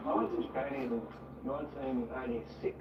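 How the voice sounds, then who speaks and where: Older male interviewee, medium pitch, measured and hesitant, muffled sound from off mic. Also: fairly even intonation. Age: 50s or older. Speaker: interviewee recalling the past. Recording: echoey, dull, noisy, distant mic.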